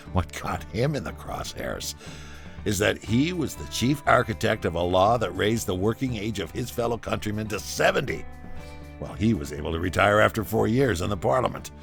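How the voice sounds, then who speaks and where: A man playing a wry, foul-mouthed former butcher and rebel, telling his story conversationally and theatrically in an audio drama, with a low, gravelly voice. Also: animated, normal speed, soft background music.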